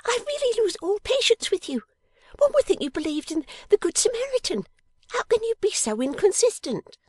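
Shrill, bossy young dressmaker. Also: female voice, sing-song, measured pace, darkish tone, no room sound, exaggerated voice. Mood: irritated, scolding. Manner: emphatic, scolding, theatrical exclamations. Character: sharp, impatient, bossy young woman dolls' dressmaker. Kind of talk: dramatised audiobook character reading.

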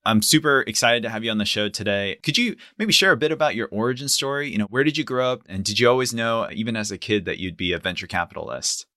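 Bright, upbeat male podcast host. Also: fairly low pitch, expressive, fast talker, hardly any pauses, dry recording, clean studio sound. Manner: upbeat, enthusiastic interviewer. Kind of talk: conversational podcast interview.

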